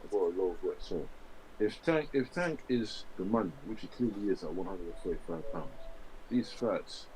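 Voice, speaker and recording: A man joining a podcast remotely, speaking softly with halting restarts over a quiet mic. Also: deep voice, lively intonation, background noise. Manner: soft-spoken, halting restarts. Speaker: podcast panelist on call. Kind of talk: casual debate.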